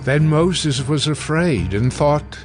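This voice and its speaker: Male scripture narrator over soft music. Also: on the high side, lively intonation, slow pace.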